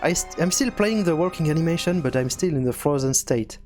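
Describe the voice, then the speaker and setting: A man's voice: a little high, lively intonation, a little mellow, soft background music. Man thinking aloud in a coding tutorial. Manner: halting, thinking aloud with repeated words. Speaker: tutorial host and game developer. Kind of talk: casual screencast programming tutorial.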